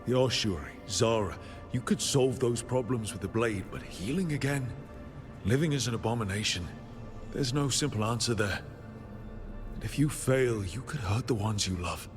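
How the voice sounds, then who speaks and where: An actor playing a steadfast, reassuring heroic companion and leader in a video game, earnest and persuasive. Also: male voice, medium pitch, normal speed, lightly acted. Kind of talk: dramatic video game acting. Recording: music behind the voice.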